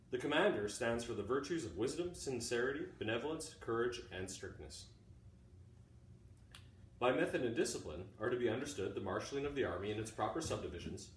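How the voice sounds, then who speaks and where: A middle-aged man, a teacher reading aloud in a deliberate way, pausing often between short phrases. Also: fairly low pitch. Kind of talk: informal introduction and measured read-aloud of a classic book. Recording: roomy, distant mic, faint background noise.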